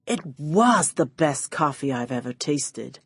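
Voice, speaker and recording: A woman's voice: low-pitched, expressive, takes it slowly, breathy edge, no room sound, studio quality, exaggerated voice. A woman voicing an over-apologetic male film actor in an audiobook, flustered and eager to please. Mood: ingratiating.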